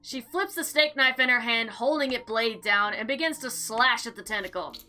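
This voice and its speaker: A woman giving casual, chatty gameplay commentary and reading visual novel text aloud in an expressive, theatrical way. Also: on the high side, moderate pace. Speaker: game player and narrator. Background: background music.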